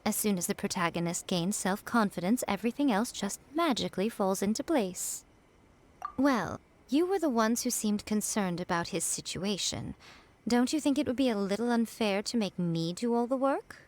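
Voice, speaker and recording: A woman's voice: mid-range voice, lively intonation, normal speed, natural voice. A woman voicing a sly, playful female shrine priestess who drawls and teases in a video game. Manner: teasing, amused, drawling. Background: game background music.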